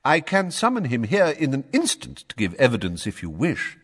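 A man voicing an old wizard who speaks mildly, politely and in measured sentences. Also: mid-range voice, wildly swooping pitch, a bit bright, breathy edge, in a large hall, pitched above the performer's own voice, exaggerated voice. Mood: calm, courteous. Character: calm, courteous old male wizard, quietly firm.

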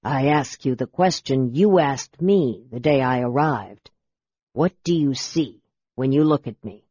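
Man playing a slow, proud, intense wizard. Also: mid-range voice, animated, warm tone, slightly rough, voice pushed down. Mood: solemn, intense. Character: proud, quietly intense young male wizard. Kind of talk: audiobook character voice. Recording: roomy echo, slightly dull sound.

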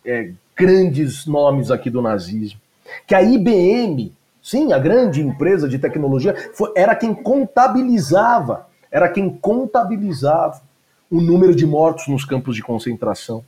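A man's voice: a little high, very animated, moderate pace, warm tone. A male teacher giving a lecture, digressing with frequent asides and fillers as he talks. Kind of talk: lecture discussion.

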